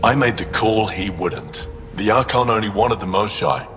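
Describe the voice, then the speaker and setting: A man's voice: lowish voice, moderate pace, fairly bright tone, lightly acted. An actor playing a bitter, defiant male alien traitor held prisoner in a video game, weary and resentful. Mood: bitter, regretful. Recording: echoing cell, music behind. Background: game soundtrack music.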